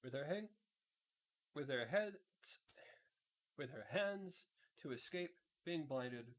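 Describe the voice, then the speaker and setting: A man's voice: on the high side, lively intonation, takes it slowly. A man narrating a children's fantasy book aloud, often stumbling over words and correcting himself. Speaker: amateur storybook reader, streamer.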